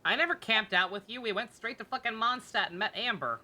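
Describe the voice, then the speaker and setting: A woman's voice: a little high, fairly quick, pushed and bright. A woman streaming a game with casual live commentary, teasing and wisecracking in a sarcastic way.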